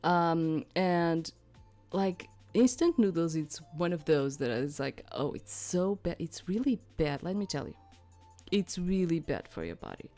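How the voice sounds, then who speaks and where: Lower-voiced woman playing and narrating a game. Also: animated, unhurried, warm tone, faint music behind. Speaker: let's play host. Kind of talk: reading game narration aloud with casual commentary.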